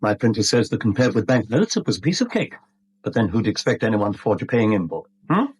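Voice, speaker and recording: A man's voice: lowish voice, big pitch swings, quick pace, a little mellow, breathy edge, exaggerated voice. A man acting a smug, breezy British confidence trickster in a 1970s radio drama, jaunty, sly and condescending.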